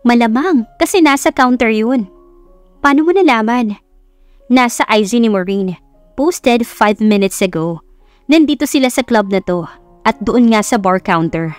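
A woman's voice: on the high side, lively intonation, measured pace, no echo, faint music behind. A woman with a Filipino accent voicing a blunt, teasing young best friend in an audiobook, slangy and exasperated.

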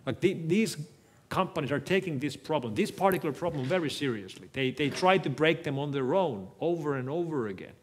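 A middle-aged man whose native language is Finnish, giving a measured, thoughtful keynote talk on stage in a lecture hall, speaking into a headset mic. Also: a little high, expressive, a little hiss or hum. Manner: measured, thoughtful, frequent restarts. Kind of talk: conference keynote with audience Q&A.

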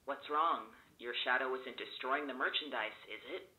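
A man's voice: mid-range voice, normal speed, bright, forceful tone, slightly rough, low-quality recording. A man playing a blunt, sarcastic, irritable friend in an audio drama. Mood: wary, curt. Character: exasperated, sarcastic but helpful male friend. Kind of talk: naturalistic scripted audio drama dialogue.

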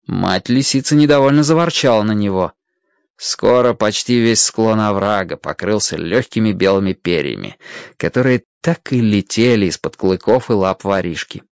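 A man narrating a scripted children's story in a measured, expressive way. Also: fairly low pitch, clean tone, no echo, clean studio sound.

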